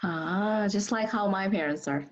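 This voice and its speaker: A young woman asks interview questions in a friendly, encouraging tone, filling her speech with casual fillers. Her voice sits at a middle pitch. The recording sounds dull and lo-fi. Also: unhurried. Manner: friendly, encouraging, many fillers like "like" and "you know".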